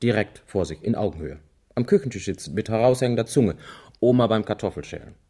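An actor playing a wry, easygoing man in a German radio crime drama, thinking aloud methodically in a dry, deliberate way. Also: on the low side, lively intonation, warm tone. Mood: pondering.

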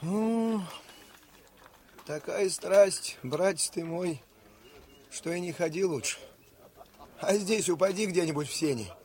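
An actor playing an excitable, talkative common soldier who speaks in urgent rustic peasant speech, in a 1970s radio play. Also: male voice, high-pitched, takes it slowly, long pauses. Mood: alarmed. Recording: old radio recording with faint hiss.

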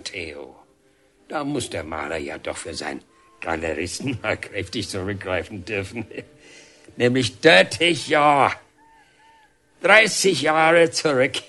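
An actor playing an expansive, sentimental older painter in a radio drama, making a halting, theatrical speech. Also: male voice, mid-range voice, pushed and bright, exaggerated voice. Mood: formal, halting. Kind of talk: German radio drama acting.

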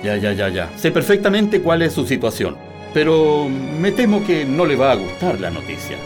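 A man's voice: a little high, sing-song, moderate pace, over music. A man playing a smug, intimidating military prosecutor in a radio drama, mock-polite and menacing.

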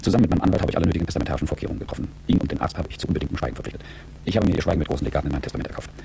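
Very deep, gravelly, world-weary manager. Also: male voice, racing, noisy background, full character voice. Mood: tense, calculating. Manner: dry, sardonic, world-weary. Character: bored, cynical, wealthy middle-aged business manager. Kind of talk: German radio drama monologue.

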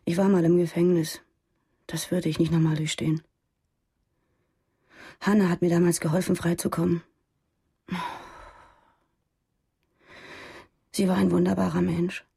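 Husky, mellow, unrepentant militant woman. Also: lowish voice, heavily acted. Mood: subdued grief. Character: idealistic former militant woman on the run, earnest, unrepentant. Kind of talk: German radio drama acting.

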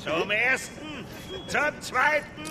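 An actor playing a tough, hot-tempered police detective who barks short commands in a German radio crime drama. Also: male voice, very high-pitched, animated, slow, a loud crowd, exaggerated voice. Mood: commanding. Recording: loud street and vehicle noise.

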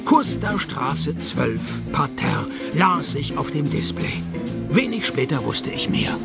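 A wry private detective from a radio play. His voice sits fairly high with a clear fry in it. He delivers narration and retorts sardonically, with music behind him. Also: very animated, slow, exaggerated voice. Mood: dry narrating. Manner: dry, sardonic. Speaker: lead actor and narrator.

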